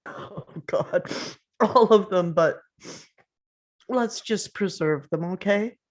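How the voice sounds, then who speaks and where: A woman playing a supportive, quietly protective husband and father, understated and reassuring, in a dramatic table read. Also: medium pitch, slow, natural voice. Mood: uneasy, tender.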